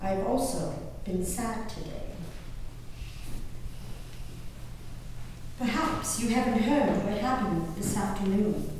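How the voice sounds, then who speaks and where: A woman performing a genteel, impassioned colonial-era lady diarist on stage, declaiming her journal with deliberate dramatic pauses. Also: mid-range voice, natural voice. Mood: sorrowful. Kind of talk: theatrical dramatic reading of historical diaries. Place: live stage performance in a reverberant hall. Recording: very echoey hall from far off, noisy.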